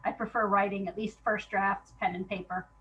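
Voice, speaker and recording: A woman talking casually and reflectively as an interview guest, in an informal, halting way, thinking aloud as she goes. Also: medium pitch, little pitch movement, a bit bright, highs cut off, a little hiss or hum.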